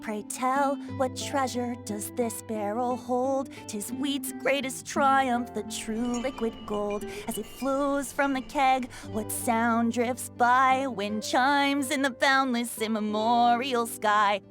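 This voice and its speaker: A woman's voice: fairly high pitch, fairly even intonation, music in the background. A woman playing a genial youthful bard in a video game, reciting verse in a courteous, lyrical, theatrical manner. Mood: lyrical, wistful. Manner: lyrical, measured, florid.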